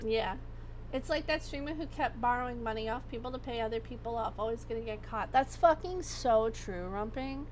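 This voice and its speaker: A woman livestreamer chatting casually, her voice going hoarse as she rambles and swears freely. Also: fairly high pitch, brisk, a little hiss or hum. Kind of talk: casual chat.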